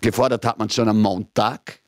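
A man's voice: fairly low pitch, steady tone, moderate pace, no room sound, studio quality. A man with an Austrian German accent hosting a call-in radio show, chatting casually with many filler sounds and restarts.

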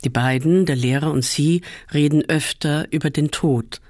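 A man reading a first-person literary essay aloud in a measured, calm way, often slowing down. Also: on the high side, dry recording, clean studio sound. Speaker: reader.

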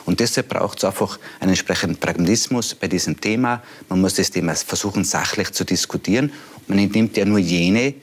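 An Austrian man gives an interview statement in a lowish, slightly husky voice. He makes his points emphatically, stacking repeated phrases. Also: fairly quick. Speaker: chamber of agriculture president.

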